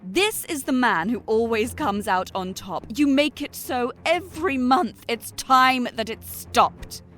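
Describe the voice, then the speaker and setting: A woman playing a firm, moralising story character, speaking insistent, measured rhyming lines in an audio drama. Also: on the high side, animated, a bit bright, soft background music. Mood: reproachful, pleading. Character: firm, moralising female character confronting her author.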